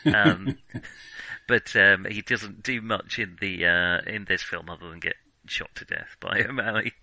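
A man's voice: sits low, unhurried, gravelly, sharp tone, dry recording, low-quality recording. A man co-hosting a film podcast, chatting casually and often tentatively searching for words. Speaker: film discussion podcast co-host.

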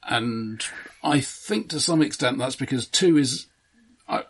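A man co-hosting a casual film discussion, giving his opinions in a deliberate, analytical way. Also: mid-range voice, expressive, fairly bright tone, slight background noise. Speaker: podcast co-host.

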